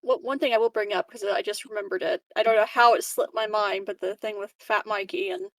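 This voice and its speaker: A woman acts out a female colony worker in a sci-fi tabletop game, with a creaky voice and a close, dry sound. She is candid and polite, choosing words hesitantly with some unease. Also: mid-range voice, steady tone, brisk, a bit bright, no room sound, close to an everyday voice. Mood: uncomfortable, complaining. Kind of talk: improvised tabletop roleplay dialogue.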